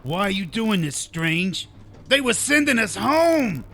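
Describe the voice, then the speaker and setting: A man's voice: high-pitched, big pitch swings, measured pace, pushed and bright, heavily acted. An actor playing a cranky, put-upon male sidekick in a comedic audio drama, mouthy and full of exasperated complaints. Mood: irritated.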